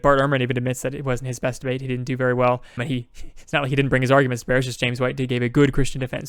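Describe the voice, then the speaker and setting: A podcast co-host who talks fast and thinks aloud, backing up and restarting his points. His voice is smooth and clear, and it sits dry and close to the mic with no room sound. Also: mid-range voice, no echo. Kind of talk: casual discussion.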